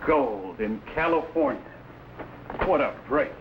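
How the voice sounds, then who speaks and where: An actor playing a brash, opportunistic gold-rush newspaperman in an old adventure serial, forceful and declamatory. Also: mid-range voice, expressive, takes it slowly. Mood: gloating. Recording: dull, faintly noisy vintage recording.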